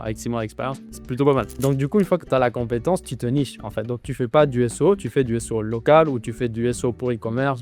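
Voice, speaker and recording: A man from Argentina with a light Argentine accent, a guest speaking casually in an interview with slang and restarts. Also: mid-range voice. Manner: relaxed, informal, with restarts and slang. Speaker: guest, SEO agency founder.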